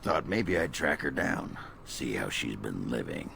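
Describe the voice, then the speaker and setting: Very deep, gravelly dwarf warrior. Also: male voice, sing-song, a little slow, a bit bright, a little hiss or hum, full character voice. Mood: gruff, rambling. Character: gruff, lewd, boozy middle-aged male dwarf warrior. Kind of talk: fantasy video game voice acting.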